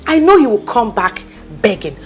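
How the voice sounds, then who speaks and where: A woman's voice: fairly high pitch, sing-song, moderate pace, soft background music. A woman with a Nigerian accent, acting a loyal best friend who insistently talks her heartbroken friend up. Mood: comforting. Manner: emphatic, insistent, coaxing pep talk. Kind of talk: skit acting.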